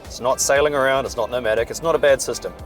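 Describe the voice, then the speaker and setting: A hoarse man, chatting and joking as he reviews a car while driving it. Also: medium pitch, fast, soft background music. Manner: chatty, dry jokes. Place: inside a moving car.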